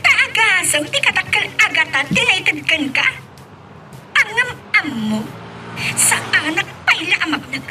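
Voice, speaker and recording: A woman's voice: extremely high pitch, lively intonation, a little slow. A woman playing a spiteful, jealous young rival, taunting and scolding in an audio romance drama. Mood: angry. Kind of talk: Ilocano radio-style romance drama acting. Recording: noisy, distant mic with clicks.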